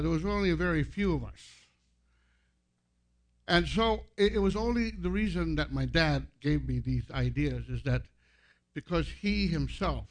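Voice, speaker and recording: A man in his seventies giving an informal sermon as a guest pastor, telling personal stories in a halting, self-deprecating way. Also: on the high side. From San Francisco, United States, born to a Filipino family. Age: 70s.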